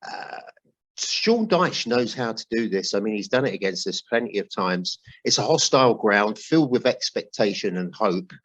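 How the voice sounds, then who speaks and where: A man with a fairly low voice hosts a relaxed football podcast. He speaks conversationally and tends to steer his points toward questions for the others. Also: normal speed, breathy edge, muffled recording. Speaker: podcast host. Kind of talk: casual football discussion.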